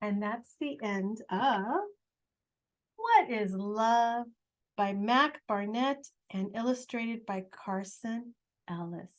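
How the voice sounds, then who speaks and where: A woman who hosts a children's storytime, reading a picture book aloud in an expressive, animated way. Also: on the high side, highs cut off. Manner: animated, unhurried delivery. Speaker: children's storytime host, reader.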